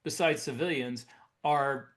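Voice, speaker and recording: A man's voice: mid-range voice, a little slow, fairly bright tone. Man chatting as a podcast co-host. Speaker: podcast co-host and military sci-fi writer. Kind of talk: casual podcast discussion.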